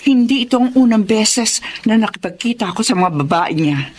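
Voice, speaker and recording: A woman's voice: fairly high pitch, animated, slight background noise, natural voice. A woman playing a composed, resolute wronged wife in a radio drama, speaking emphatically with a Filipino accent. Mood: firm, earnest. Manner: deliberate, emphatic. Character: composed, resolute wronged wife warning her husband's girlfriend.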